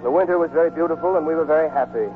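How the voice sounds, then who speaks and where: Actor as steady man, muffled old radio. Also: fairly high pitch, very fast, lightly acted. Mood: reflective. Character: steady, devoted American soldier on the run. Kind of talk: 1940s radio drama acting and first-person narration. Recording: muffled 1940s radio recording with music.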